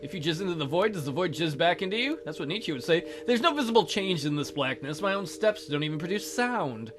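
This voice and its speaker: A man's voice: fairly high pitch, animated, a little fast, over music. A man hosting a let's play, reading a visual novel aloud theatrically with goofy, crude joking commentary.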